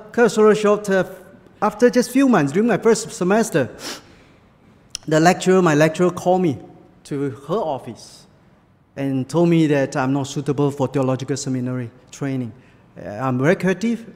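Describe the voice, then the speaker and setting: A man from Malaysia whose first language is Chinese, preaching a sermon with personal stories in halting English full of fillers. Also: on the high side, a little mellow, big-room sound. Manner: halting, many fillers, non-native English phrasing.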